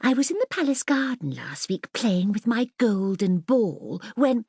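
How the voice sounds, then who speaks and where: Woman voicing a haughty princess girl, high and swooping. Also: very animated, measured pace, darkish tone, husky, dry recording, clean studio sound, exaggerated voice. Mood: grand, indignant. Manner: grand, theatrical, bossy. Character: haughty, boastful, mischievous young princess girl. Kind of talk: children's audiobook character voice.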